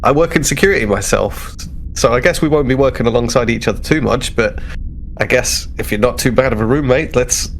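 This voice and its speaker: A man's voice: medium pitch, brisk. A man playing a tabletop role-playing game, speaking casually and tentatively. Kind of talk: casual in-character role-play and narration. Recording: noisy home recording.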